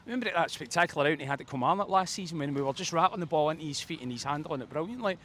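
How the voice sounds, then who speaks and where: A man with a slightly high voice talks very quickly in a fan's post-match chat. He is effusive and opinionated, running on at length. He is recorded outdoors with faint urban noise around him. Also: expressive, a bit bright. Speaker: football fan pundit. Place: outdoors outside a football stadium. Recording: outdoor street noise behind.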